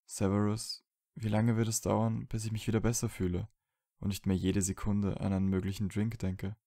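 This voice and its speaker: A man plays a young wizard in an audio drama, stubborn yet emotionally open. The voice is mellow and fairly low, earnest and insistent, with an unguarded colloquial way of speaking. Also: flat delivery, normal speed, vocal fry, no echo, studio quality. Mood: weary, worried. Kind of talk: fan audio drama acting.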